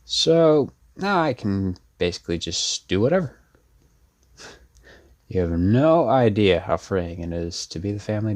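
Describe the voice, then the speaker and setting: Casting: male actor for a deep-voiced, laid-back young male rebel, self-deprecating and wry, rambling delivery, roleplay audio drama. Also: exaggerated voice. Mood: wry, relieved. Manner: rambling, wry, sardonic, casual.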